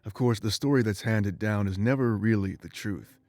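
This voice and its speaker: An ancient immortal warrior from a video game, played by a man, weary and full of remorse. His low voice delivers a solemn, measured confession with a bitter edge. Faint music runs underneath. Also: darkish tone, no echo, lightly acted. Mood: grave, confessional. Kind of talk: dramatic game voice acting, confessional monologue.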